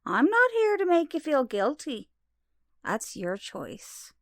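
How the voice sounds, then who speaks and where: A woman playing a gentle, knowing long-lost mother in an audio drama, maternal with a touch of reproach. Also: high-pitched, huge pitch swings, slow pace, dry recording, studio quality, exaggerated voice. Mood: tender, knowing.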